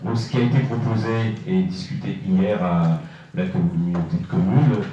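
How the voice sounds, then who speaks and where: A man with a low, mellow voice presents to a municipal council as a councillor. He explains step by step, haltingly, with many drawn-out hesitations. The sound is heavily echoing, picked up by a distant microphone. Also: fairly even intonation, a little hiss or hum. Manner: halting, hesitant delivery with frequent long filler pauses. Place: reverberant indoor meeting room. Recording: echoey, muffled room, distant mic.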